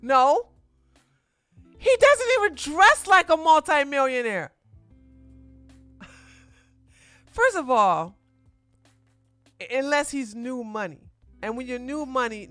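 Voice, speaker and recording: A woman streamer reacting to a video in casual, blunt commentary, speaking with emphatic force and sometimes breaking into loud, indignant outbursts. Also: sits high, sing-song, slow pace, pauses often, dry recording, faint music behind.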